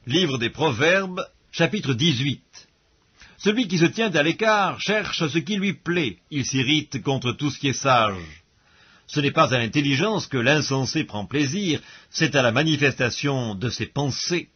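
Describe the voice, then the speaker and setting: Husky man reading scripture, dull recording. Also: on the high side, animated. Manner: measured, formal diction. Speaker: Bible audiobook narrator. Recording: dull, lo-fi audio.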